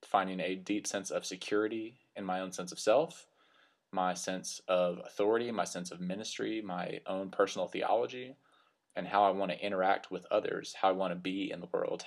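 A man in his thirties with a West Texas accent, a minister talking earnestly and reflectively in a solo podcast. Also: sits low. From West Texas Panhandle, US. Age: 30s. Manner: earnest, reflective, slightly self-conscious. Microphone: computer microphone.

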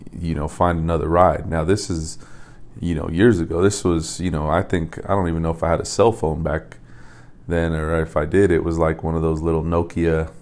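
A young man from Las Vegas hosting a podcast, telling stories in a loose, rambling way with repeated words and soft laughs. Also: very deep voice. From Las Vegas, USA. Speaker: podcast host and coach. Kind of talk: casual storytelling monologue.

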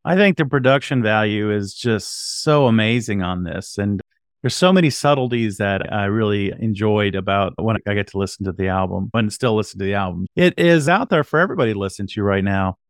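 A man's voice: on the low side, expressive, a little fast, no room sound, studio quality. A man hosting a podcast, introducing and interviewing in a casual conversational style. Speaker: podcast host.

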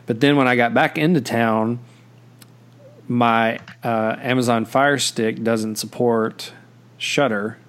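A man's voice: mid-range voice, fairly even intonation, slow pace, fairly bright tone, clean tone. A man hosting a podcast in casual chat, rambling with many fillers and restarts. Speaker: podcast host.

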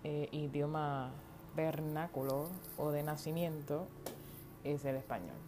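A woman's voice: low-pitched, unhurried. A woman from Puerto Rico with a Puerto Rican Spanish accent, hosting a casual solo podcast in an informal, rambling way with slang and some English words. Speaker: podcast host. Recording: home recording with outdoor yard noise. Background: faint noise from outside.

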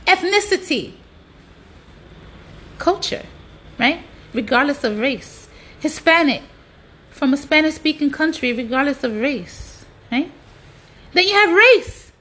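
A woman's voice: sits high, expressive, slow pace, pauses often, pushed and bright, slight background noise. A woman delivering an opinionated, exasperated monologue in colloquial speech, with rhetorical questions and frequent swearing.

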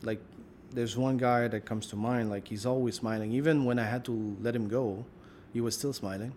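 A man's voice: medium pitch, steady tone, moderate pace, darkish tone. A male interviewer with a mid-range voice talks in loose, winding sentences. He fills his questions with "like" as he works out what he means. Faint background noise sits under him. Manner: rambling, thinks aloud with many "like"s. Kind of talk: casual conversational interview.

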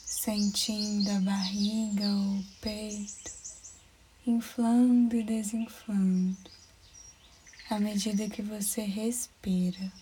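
A Brazilian woman guiding a meditation in a soothing, soft-spoken way, with breathy phrase endings. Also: mid-range voice, flat delivery, slow pace. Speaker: meditation guide. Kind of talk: guided meditation narration. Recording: clear background noise with birdsong. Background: birds chirping, outdoor-like ambience.

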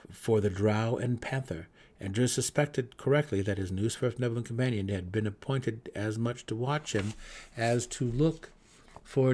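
A man's voice: fairly low pitch, a little mellow. A man reading a scripted fantasy story as a dramatic audiobook narrator.

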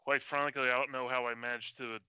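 Man in his early forties, very sharp bright voice, smooth and clear, hesitant co-host chat. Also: mid-range voice, little pitch movement, brisk, dry recording, dull audio. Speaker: show co-host. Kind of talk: casual chat.

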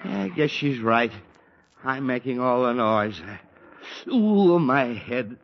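Grouchy dad with a headache, sarcastic groans, muffled old radio with hiss. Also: male voice, mid-range voice, big pitch swings, slow pace. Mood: grumpy. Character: grumpy middle-aged father with a headache. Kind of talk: 1940s radio acting. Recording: dull old radio broadcast with faint hiss.

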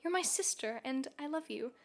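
An actress plays a young bride in a radio drama, a little drunk and speaking in a high voice. She rambles candidly into a recorder, teasing and affectionate with her family. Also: lively intonation, normal speed. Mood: tender, earnest. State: slightly drunk. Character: Kit, tipsy newlywed bride, affectionate, playful older sister. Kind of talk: radio play monologue, intimate recorded diary.

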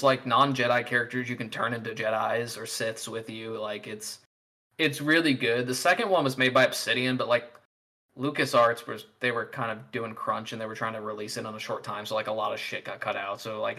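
A man in his late twenties chatting excitedly about video games, swearing freely and filling his talk with "like". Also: medium pitch, brisk. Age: 20s. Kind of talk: casual gaming podcast chat.

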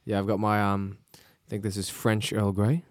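A man's voice: lowish voice, slow pace, darkish tone, dry recording, clean studio sound. A young Australian man co-hosting a podcast, chatting casually, rambling a little and laughing often. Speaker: podcast co-host. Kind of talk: casual chat. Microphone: podcast microphone.

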